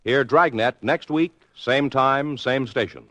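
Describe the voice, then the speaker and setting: A man's voice: mid-range voice, unhurried, fairly bright tone, dry recording. A man doing polished, emphatic scripted sponsor announcements in 1950s radio style. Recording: muffled 1950s radio recording.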